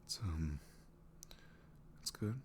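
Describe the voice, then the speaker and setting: Casting: male actor as nervous, sorry young ex-boyfriend for ASMR roleplay; very low, soft voice, slow halting delivery. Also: a little hiss or hum. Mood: awkward, nervous. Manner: hesitant, halting, trailing off. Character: awkward apologetic young ex-boyfriend, a college student. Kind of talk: intimate ASMR audio roleplay.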